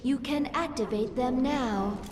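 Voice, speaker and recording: Slow, high-voiced dutiful alien adviser. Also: female voice, background noise. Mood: dutiful, deferential. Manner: measured, deferential. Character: calm, dutiful alien adviser serving her queen. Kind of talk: video game adviser lines. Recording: game music behind.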